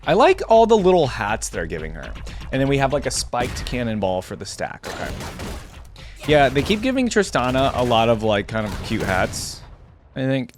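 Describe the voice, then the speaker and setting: A man with a mid-pitched, very animated voice gives casual reaction commentary. He thinks aloud in chatty, informal slang, his intonation moving up and down freely. Music plays behind him. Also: moderate pace. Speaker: host of a reaction video.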